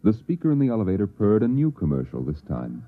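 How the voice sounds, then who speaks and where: A male actor narrating an uncanny story in a measured, dramatic old-time radio style. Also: fairly low pitch, huge pitch swings, heavily acted. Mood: ominous. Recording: tinny old radio recording with music.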